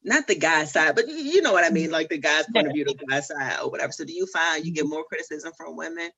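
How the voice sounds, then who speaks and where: A woman's voice: deep voice, expressive, quick pace, fairly bright tone, husky. A woman hosting a podcast in casual chat, playful and slangy. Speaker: podcast host.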